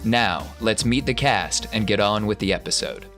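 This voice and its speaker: A man with a fairly low voice works as game master and podcast host. He narrates the game, with faint music behind him. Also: moderate pace, a bit bright. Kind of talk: hosting and narrating an actual-play podcast.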